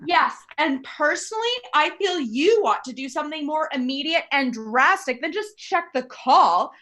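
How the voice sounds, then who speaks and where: Woman acting a really high voiced nervy invalid wife, bossy n complaining, old radio play style. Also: lively intonation, moderate pace, pushed and bright. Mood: demanding. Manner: imperious, fretful, complaining. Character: anxious, indignant, demanding invalid wife alone at home.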